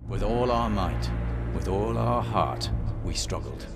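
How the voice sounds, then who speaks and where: An actor playing a resolute, dry-witted hero with an earnest, measured manner in a fantasy game cutscene. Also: male voice, on the low side, lively intonation, loud music behind the voice. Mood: solemn.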